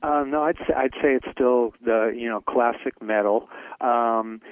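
A man's voice: medium pitch, a little slow, no room sound, poor audio. A middle-aged man, a metal singer, answering interview questions in a hesitant way, with many fillers and restarts. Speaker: metal band vocalist. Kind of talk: interview, casual chat.

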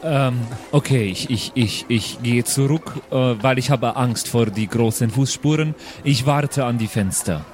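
A man's voice: medium pitch, unhurried, a little mellow. A man improvising casually as a player in a tabletop role-playing game. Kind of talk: casual improvised in-character play. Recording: clear background noise.

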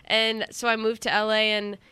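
A woman's voice: mid-range voice, monotone, moderate pace, bright, forceful tone, smooth, no room sound. A young woman, a singer, chatting casually in an interview, with lots of fillers, restarts and laughter.

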